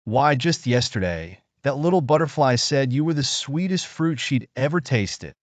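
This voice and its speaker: A man's voice: mid-range voice, expressive, normal speed, no echo, voice pushed up, natural voice. A man voicing a cheerful, kindly male fruit who encourages a friend in a children's storybook. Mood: encouraging. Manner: encouraging, reassuring.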